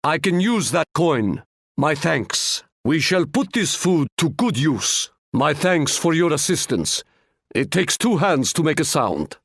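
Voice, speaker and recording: A male actor playing a proud, wise Ottoman sultan in a video game, speaking in a stately, formal and measured way. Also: on the high side, sing-song, fairly bright tone, no room sound, clean studio sound, full character voice. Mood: courteous, gracious. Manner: stately, formal, measured, proverb-laden delivery. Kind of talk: scripted video game character lines.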